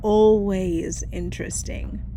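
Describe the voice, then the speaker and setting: A woman's voice: mid-range voice, steady tone, slow pace, warm tone, close to an everyday voice. A woman playing a friendly, encouraging bookshop regular in an audio drama, in a casual manner. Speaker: voice actor. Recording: faint ambient noise behind.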